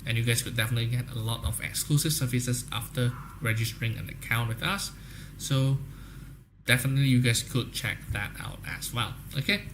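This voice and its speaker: A man's voice: medium pitch, a little fast, background noise. A young man from Indonesia with an Indonesian accent, hosting a live trading stream in casual, salesy chat and often tagging "guys, okay?". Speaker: trading livestream host. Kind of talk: casual livestream chat with viewers.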